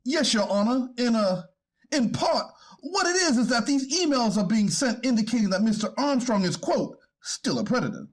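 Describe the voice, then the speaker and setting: A man playing a respectful, careful lawyer addressing a judge in a readers theater court hearing, with a husky voice. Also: very high-pitched, animated, moderate pace. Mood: earnest, arguing.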